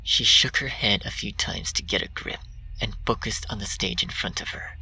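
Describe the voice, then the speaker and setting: A man narrates dark fan fiction in a hushed, half-whispered voice. His tone is bright with a gravelly rasp. He sounds a bit distant from the mic, with faint music underneath. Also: on the low side, normal speed, a little hiss or hum. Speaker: story reader.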